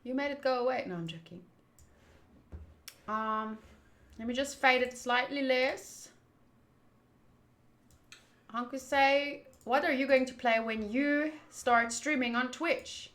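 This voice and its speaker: A woman's voice: high voice, slow, long pauses, fairly bright tone, reverberant. A woman hosting a game live stream, chatting casually in a playful, teasing and informal way. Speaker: live streamer hosting a visual novel playthrough. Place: indoors, home room.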